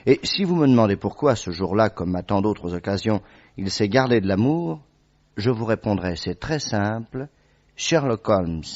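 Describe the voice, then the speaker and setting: Genial doctor and loyal companion, lowish voice, measured, articulate, old radio drama, muffled sound. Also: male voice, a little mellow. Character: genial gentleman doctor, the detective's loyal friend, recounting. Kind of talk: vintage French radio drama narration. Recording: dull old radio broadcast recording.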